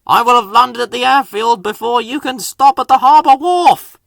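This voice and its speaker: A man's voice: very high voice, normal speed, gravelly, a bit bright, no echo, clean studio sound, pitched above the performer's own voice, heavily acted. A man voicing a proud, smug male helicopter in a children's storybook, talking in a boastful way.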